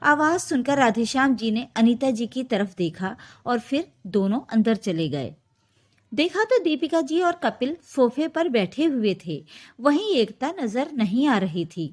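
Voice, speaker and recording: High-pitched, animated woman storyteller. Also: measured pace. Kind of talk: scripted emotional story narration.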